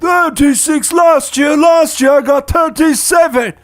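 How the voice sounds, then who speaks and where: Someone doing a high, whiny spoiled brat boy. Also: a little slow, faint music behind. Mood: indignant. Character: spoiled, bratty, excitable young boy.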